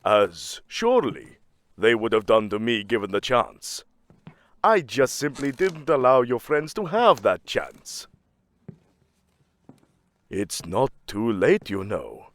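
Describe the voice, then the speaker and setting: A man's voice: mid-range voice, very animated, takes it slowly, pauses often, fairly bright tone, no echo. A man playing a commanding, bloodthirsty Norse god-king in a fantasy audio drama, taunting in formal, archaic phrasing.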